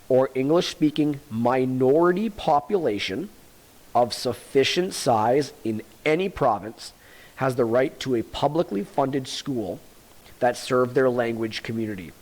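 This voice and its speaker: A man's voice: mid-range voice, darkish tone, poor audio. A man, a teacher reading a textbook aloud to students and explaining it plainly, repeating the key points.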